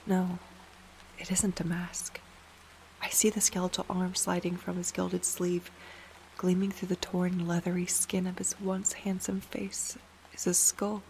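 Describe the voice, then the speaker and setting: Hushed undead warrior, little pitch movement. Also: female voice, completely flat delivery, measured pace, a little hiss or hum, low-quality recording. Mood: haunted dread. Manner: hushed, solemn, dramatic. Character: anguished undead warrior, haunted, bitter, solemn. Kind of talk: first-person audio drama narration. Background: music.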